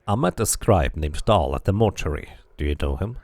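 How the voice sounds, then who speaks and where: A man voicing a calm, curious male game protagonist who asks plain questions. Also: low voice, moderate pace, no room sound, pitched below the performer's own voice. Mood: puzzled, curious.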